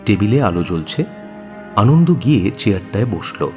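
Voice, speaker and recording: A man's voice: fairly low pitch, sing-song, normal speed, darkish tone, soft background music. A man narrating a scripted audio story expressively, in the role of narrator.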